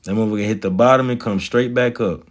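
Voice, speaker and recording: Swaggering low-voiced man talking stocks. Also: quick pace. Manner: swaggering, profane, slangy, talks to chat. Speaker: stock market livestreamer. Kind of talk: casual livestream chat about stocks.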